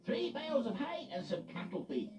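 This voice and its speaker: Freddie Forklift, squeaky and giddy. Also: male voice, wildly swooping pitch, moderate pace, reverberant, distant mic, heavily acted. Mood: busy. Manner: giddy, whooping, reckless. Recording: muffled, with music behind.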